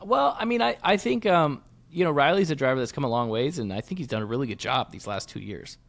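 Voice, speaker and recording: A man's voice: medium pitch, lively intonation, fast talker, vocal fry. Man casually talking racing as co-host. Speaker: motorsports podcast co-host. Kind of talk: casual sports analysis chat.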